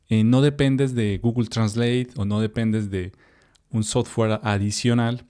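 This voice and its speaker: Mellow-voiced Colombian man teaching Spanish. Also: mid-range voice. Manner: relaxed, chatty, with filler sounds and asides to the viewer. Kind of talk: reading a text for learners.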